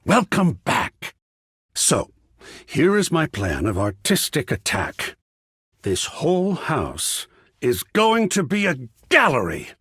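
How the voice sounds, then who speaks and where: A man's voice: a little high, wildly swooping pitch, slow, fairly bright tone, slightly rough, no room sound, studio quality, exaggerated voice. An actor playing an effusive, sensitive male art connoisseur in a video game, speaking in a florid, theatrical, musing way. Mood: eager, welcoming. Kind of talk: scripted game character dialogue.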